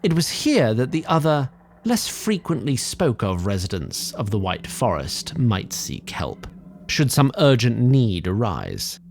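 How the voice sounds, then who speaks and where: A man narrating a scripted fantasy audio drama in a formal, deliberate way, addressing the listener. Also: medium pitch, wildly swooping pitch, darkish tone, a little hiss or hum.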